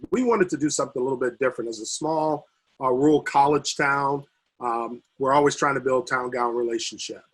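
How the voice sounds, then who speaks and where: A man on a video call whose voice is worn and husky, as if he is losing it. He talks haltingly, with frequent fillers and restarts. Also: mid-range voice, rough recording. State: losing his voice. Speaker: university recreation administrator. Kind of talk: video call panel remarks.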